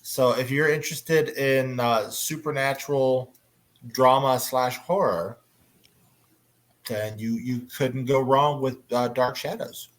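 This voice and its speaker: A man's voice: medium pitch, steady tone, takes it slowly. A man moderating a casual fan panel discussion, chatty and prompting the others with questions.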